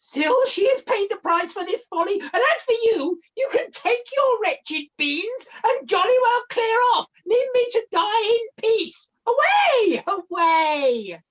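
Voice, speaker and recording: Shrill, melodramatic old pantomime mother. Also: sing-song, measured pace, few pauses, highs cut off, heavily acted. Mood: scornful dismissal. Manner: melodramatic, scolding, shrieking. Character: cantankerous, hard-of-hearing old invalid mother, Missus Maynard. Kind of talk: pantomime acting with sung passages. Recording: amateur video-call recording.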